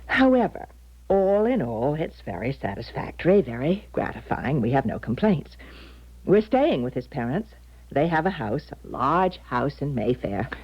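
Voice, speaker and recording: A woman's voice: deep voice, very animated, normal speed, slightly rough, full character voice. An actress playing a chatty, genteel middle-aged mother in a radio drama, with an effusive manner. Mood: pleased, chatty. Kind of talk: 1970s radio drama acting. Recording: dull, lo-fi 1970s radio broadcast.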